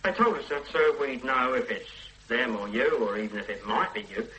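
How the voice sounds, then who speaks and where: A blunt ex-army son, offhand and cold, very bright edgy voice. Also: male voice, medium pitch, expressive, moderate pace, a little hiss or hum, rough recording. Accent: Northern English. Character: hard blunt ex-soldier son, unsentimental. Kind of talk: 1970s radio drama acting.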